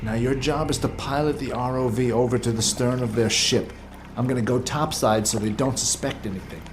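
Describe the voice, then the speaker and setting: A man playing a daring, quick-thinking submersible pilot in a sci-fi audio drama, terse, urgent and commanding. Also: medium pitch, background noise, close to an everyday voice. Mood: scheming, confident. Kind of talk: full-cast sci-fi audio drama acting. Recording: loud music and sea sounds behind.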